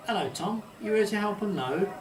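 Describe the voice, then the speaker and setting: A man's voice: high voice, lively intonation, a little fast, a crowd in the background, voice pushed up. A man voicing a jovial, teasing villager from a Cornish fishing village in a storybook, with a Cornish accent. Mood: joking, teasing. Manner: hearty, teasing. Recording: distant mic, roomy echo, faint hiss.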